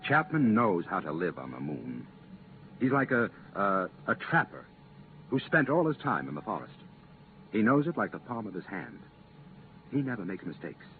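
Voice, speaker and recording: An earnest official of a space commission in a vintage radio drama. His low voice rises and falls strongly as he explains hard choices with firm, regretful emphasis. The recording is muffled, with clear background noise. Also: very animated, normal speed. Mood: earnest, insistent. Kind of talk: 1950s radio drama acting. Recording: muffled, noisy 1950s radio transcription.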